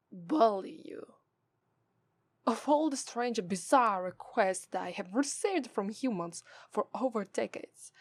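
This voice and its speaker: A woman's voice: medium pitch, no room sound, close to an everyday voice. Haughty Italian-accented demon woman, acted, close and dry. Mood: incredulous. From Italy. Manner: condescending, drawn-out disdain, breathy asides. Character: haughty, scornful female demon femme fatale. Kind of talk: ASMR roleplay acting.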